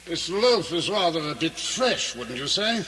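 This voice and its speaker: An actor playing a sly, cruel nobleman in a radio drama, speaking with pompous mock courtesy and sinister smoothness. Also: fairly high pitch, sing-song, measured pace, pushed and bright, full character voice. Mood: haughty, amused. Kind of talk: theatrical radio drama acting. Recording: noisy vintage radio drama recording.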